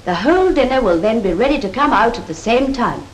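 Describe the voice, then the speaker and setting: Casting: actress as brisk British cookery demonstrator, high voice, clipped 1930s RP, scripted and instructive, on a worn old film soundtrack. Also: female voice, animated, slight background noise, natural voice, low-quality recording. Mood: instructive, encouraging. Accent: 1930s British Received Pronunciation. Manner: precise, instructive, proper. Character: brisk, assured woman cookery demonstrator advising a housewife.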